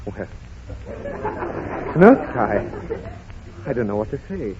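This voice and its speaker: Animated, fond radio father. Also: on the low side, takes it slowly. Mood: moved, fond. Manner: fond, hesitant, coaxing. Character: affectionate, gently exasperated father of small girl. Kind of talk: 1930s radio comedy acting. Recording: scratchy, muffled 1930s radio recording.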